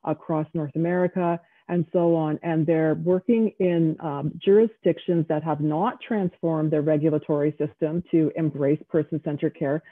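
A woman's voice: sits low, fairly even intonation, dry recording. Woman on a tinny video call. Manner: measured, emphatic explaining. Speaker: panelist, author. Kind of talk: panel discussion answer. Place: home room with bookshelves. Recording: tinny, dry phone-like audio.

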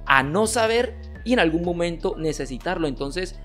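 A young man in his twenties, an olympic wrestler hosting his channel, reacting to viewer comments with emphatic, gesturing argument from a home setup on a boom mic. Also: lively intonation, normal speed, a bit bright, faint music behind. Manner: emphatic, gestures a lot while arguing. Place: home streaming room with gaming chair. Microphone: studio mic on boom arm, headset.

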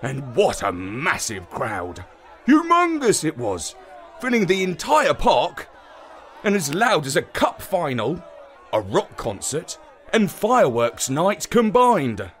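A man voicing a good-natured space dog who narrates a children's bedtime story in a wry, expressive way. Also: a little high, slow pace, some chatter behind, heavily acted. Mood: amazed. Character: good-natured male space-dog telling his own tale.

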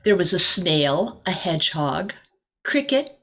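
Slow-paced woman reading a storybook aloud. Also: fairly low pitch. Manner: expressive, deliberate. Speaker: volunteer teacher reading to children. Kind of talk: storybook read-aloud with asides. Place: small room indoors.

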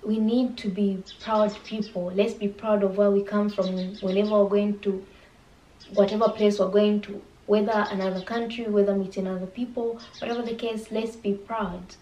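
A woman's voice: medium pitch, level tone, moderate pace, mellow, lo-fi sound. A woman in her twenties with a Zambian accent, giving reflective commentary to camera as a reaction video host. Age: 20s. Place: small bare indoor room. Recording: echoey room, distant mic, faint noise.

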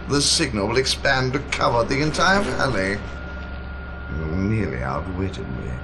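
An actor playing a smug, pompous mad scientist rival who cites rules in a video game cutscene. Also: male voice, mid-range voice, exaggerated voice. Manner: pompous, self-satisfied, citing rules.

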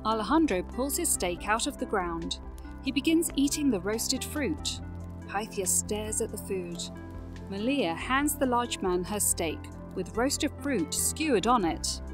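Female narrator, medium pitch, scripted fantasy storytelling, light music underneath. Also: lively intonation, measured pace.

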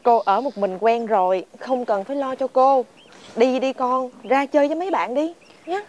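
A woman playing a gentle, lonely village woman in a fairy-tale drama, with a southern Vietnamese accent and a coaxing manner. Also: high-pitched, moderate pace, lo-fi sound, natural voice. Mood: gently coaxing. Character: gentle, lonely village woman long awaiting her lover.

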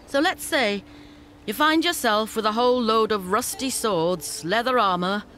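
An actress playing a shrewd female scavenger leader in a fantasy game, brisk and businesslike with a teasing edge. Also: a little high, a bit bright, a little hiss or hum. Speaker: game character. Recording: game audio with faint music.

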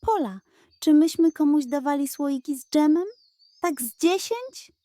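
Woman acting a shrewd, high-voiced suspicious housewife. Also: expressive, unhurried, warm tone, no echo, clean studio sound. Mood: puzzled. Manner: muttering, shrewd. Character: suspicious housewife playing detective, quietly cunning. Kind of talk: children's audio fairy tale.

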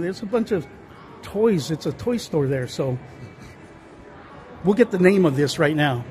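A man with a fairly high voice narrates a casual tour as he walks through a large indoor mall, filming on a handheld phone. He rambles, trailing off into fillers and restarting his sentences. Faint hum of the mall behind him. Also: a little mellow. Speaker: vlogger touring a mall. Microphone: handheld phone camera, speaker off screen.

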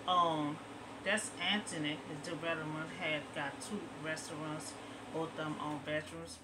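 A woman's voice: deep voice, very noisy. A middle-aged woman talks casually to a webcam in her bedroom about local news, rambling and filling her sentences with "you know.". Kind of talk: casual commentary to camera. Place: bedroom at home, indoors. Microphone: webcam in front of her.

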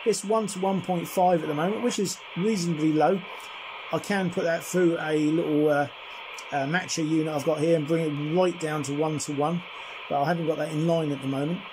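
A man chatting casually and at some length as he demonstrates a CB radio, in a friendly, slightly husky voice. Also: on the high side, steady tone, brisk, background noise. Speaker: CB radio reviewer and operator. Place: indoors at a home radio desk.

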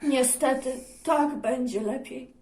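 A woman voicing a wise, kind king who speaks slowly and earnestly. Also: high voice, a little mellow, voice pushed down. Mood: worried. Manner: slow, earnest, concerned. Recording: echoey room.

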